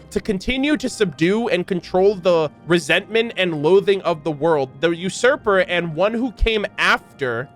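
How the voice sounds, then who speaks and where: A man giving casual, slangy live reaction commentary as a game streamer, animated and incredulous as he reads lore aloud. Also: a little high.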